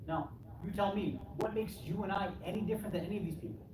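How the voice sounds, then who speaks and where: A man's voice: sits high, quick pace. A man preaches to a congregation in a fairly distant, noisy recording with strong room echo. He talks colloquially, posing rhetorical questions and acting out the answers people might give. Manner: colloquial, checking in with "right?" and voicing imagined replies. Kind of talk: conversational English sermon with rhetorical questions. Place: Islamic center hall. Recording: echoey hall, distant mic, clearly noisy.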